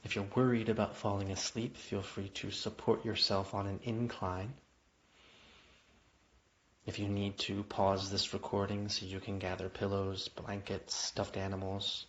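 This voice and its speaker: Male meditation guide, lower-pitched voice, gentle invitational delivery with lingering pauses, slightly dull lo-fi sound. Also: flat delivery. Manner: gentle, unhurried phrasing with long pauses, invitational. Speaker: yoga nidra guide. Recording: dull, muffled lo-fi audio.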